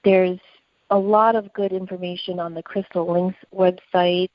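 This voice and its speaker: A woman's voice: lowish voice, level tone, measured pace, dry recording, lo-fi sound. A woman co-hosting a conversational podcast, speaking in an earnest, opinionated way. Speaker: podcast co-host.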